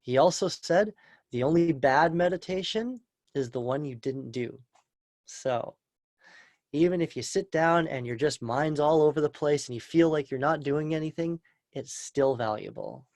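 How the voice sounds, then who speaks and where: A calm, soft-spoken man teaching meditation, guiding a session and then answering questions from an online group. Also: fairly high pitch, normal speed, no room sound, studio quality. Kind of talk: guided meditation and live Q&A webinar. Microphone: video call.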